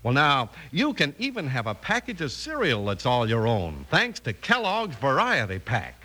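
An American man announcing in a polished, upbeat old-time radio style, delivering a scripted sponsor pitch. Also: huge pitch swings, normal speed, bright, forceful tone. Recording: old radio broadcast recording. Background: music.

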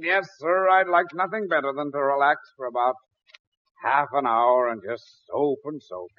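Actor as blustery radio dad, tinny old recording. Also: male voice, mid-range voice, moderate pace, lightly acted. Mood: jovial. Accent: American. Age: middle-aged. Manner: sputtering, chuckling, theatrical. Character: blustery, fussy middle-aged father, easily exasperated. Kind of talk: 1940s radio comedy acting. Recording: thin, tinny 1940s radio broadcast.

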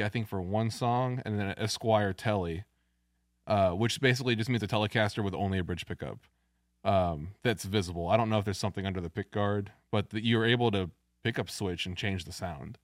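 A man with a deep voice, a podcast guest explaining guitar gear and technique in a knowledgeable, technical way. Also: moderate pace, no room sound. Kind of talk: casual chat about music gear. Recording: dry, music playing behind.

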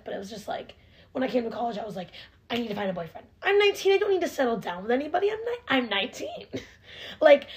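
A nineteen-year-old woman in a casual chat, earnest and self-reflective, saying "like" all the time. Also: on the high side. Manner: chatty, heavy use of "like", earnest and self-reflective.

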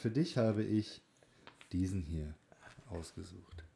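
Man, fairly low voice, casual podcast co-host, informal banter. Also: takes it slowly, vocal fry, dark tone, a little hiss or hum. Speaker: student in a speech training class. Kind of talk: casual podcast chat with tongue-twister game.

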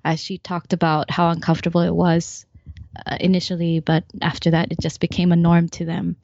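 Female podcast co-host, lower register, blended North American-Malaysian accent, chatty, light laughs, slightly dull close-room sound. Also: level tone, brisk, creaky, darkish tone, no room sound. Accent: mixed North American and Malaysian. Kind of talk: casual podcast chat.

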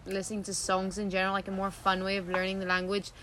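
A woman's voice: mid-range voice, completely flat delivery, fast talker, a bit bright, a little hiss or hum, low-quality recording. A woman co-hosting a casual student podcast, chatting and filling her talk with "like". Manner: filler-heavy, lots of "like". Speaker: podcast co-host.

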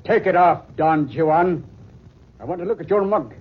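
Tough wisecracking policeman, 1940s radio drama. Husky, bossy, suspicious; muffled vintage sound. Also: a little high, animated, normal speed. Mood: sarcastic, demanding. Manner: slangy, blunt, bossy. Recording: dull old 1940s radio recording.

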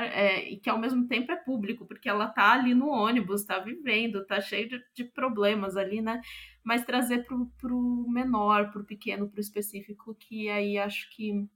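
A woman with a Brazilian accent gives a reflective interview as a playwright. Her voice sits at a middle pitch. She is thoughtful, feels for the right word and often lets phrases trail away. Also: a bit bright.

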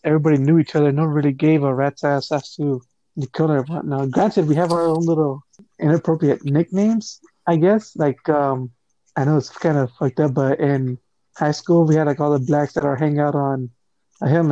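Hesitant man with a dark, dull sound. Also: on the high side, no echo. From United States. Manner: hesitant, rambling. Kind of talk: casual group discussion.

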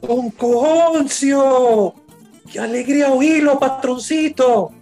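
A man's voice: very high voice, slow pace, dark tone, soft background music, heavily acted. A man acting a folksy, loyal working man with a colloquial Venezuelan accent in a radio soap opera. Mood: delighted.

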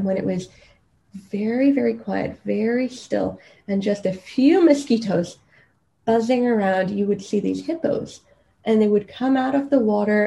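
A woman's voice: mid-range voice, gravelly, dark tone, poor audio. A woman from Kenya speaking conversationally as a podcast guest, telling personal stories. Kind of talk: conversational personal storytelling interview.

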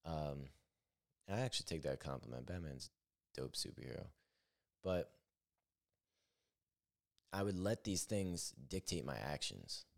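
Brief: young male podcast host, extremely low deep voice, candid rambling solo monologue. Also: expressive, unhurried, vocal fry, pauses often. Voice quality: naturally deep voice. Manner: rambling, self-correcting, candid.

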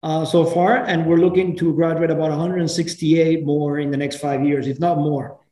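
A man's voice: on the high side, flat delivery, moderate pace, mellow. A man from Venezuela, speaking earnestly as an interview guest and often restarting his phrases. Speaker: guest, program founder. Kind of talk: casual interview talk. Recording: roomy echo.

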